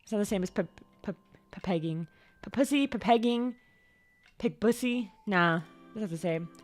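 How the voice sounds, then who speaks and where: A young woman chatting casually on a livestream. She rambles, repeats and stumbles over words, and her voice sometimes jumps suddenly into high squeaks. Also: animated, normal speed, no room sound. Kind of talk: casual stream chat. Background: faint background music.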